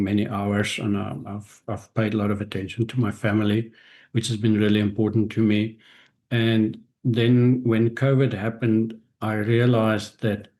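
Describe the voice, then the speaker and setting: Low, flat-voiced South African man. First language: Afrikaans. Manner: reflective, frequent restarts and hesitations. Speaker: guest, author and business strategist. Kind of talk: interview answers.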